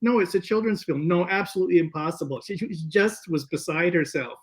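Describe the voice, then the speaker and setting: A man speaking as a guest filmmaker, telling stories in a casual, animated interview style. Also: sits high, a little fast, husky.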